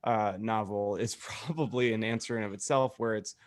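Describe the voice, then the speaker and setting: A man with a lowish voice chats casually as a podcast co-host. His delivery is wry and joking, with a teasing streak. The sound is dry, with very little room in it. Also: normal speed, dry recording. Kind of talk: casual group banter.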